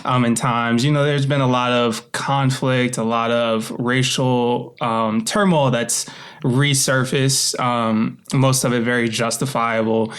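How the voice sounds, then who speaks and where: A man with an American accent speaks at a medium pitch. He talks casually and reflectively as a guest, often halting as he feels out the right words. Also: fairly even intonation, fairly bright tone. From United States. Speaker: interview guest, author and voice actor.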